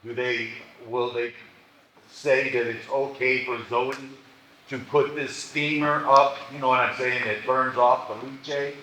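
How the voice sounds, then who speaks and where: A man's voice: mid-range voice, steady tone, measured pace, pushed and bright. A man at a public meeting asking insistent, colloquial questions about zoning. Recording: echoey hall, faint noise.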